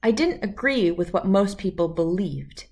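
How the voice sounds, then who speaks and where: A woman reading a children's picture book aloud as an expressive storyteller. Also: medium pitch, normal speed, gravelly.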